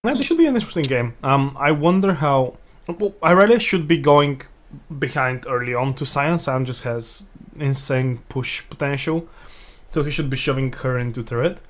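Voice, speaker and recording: A man co-casting a video game match in a relaxed, halting way, repeating words as he thinks and laughing easily. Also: mid-range voice. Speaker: esports co-caster. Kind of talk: casual game commentary.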